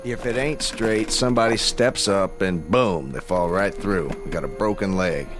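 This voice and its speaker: An actor playing a dutiful, weary small-town deputy in a western radio drama, plain-spoken and folksy. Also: male voice, low-pitched, normal speed, over music, lightly acted. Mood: cautioning.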